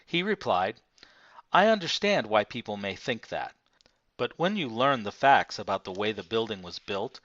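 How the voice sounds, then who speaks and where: A man reading a nonfiction book aloud as scripted narration, in a measured, formal manner. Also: fairly low pitch, fairly bright tone, no echo. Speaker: audiobook reader. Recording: dull, lo-fi, dry close recording.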